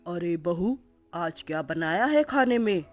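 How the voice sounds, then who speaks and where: A kindly, easygoing father-in-law with a lowered voice, gentle and coaxing, as a woman puts him on. His slow, unhurried lines sit over soft background music. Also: expressive. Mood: genial.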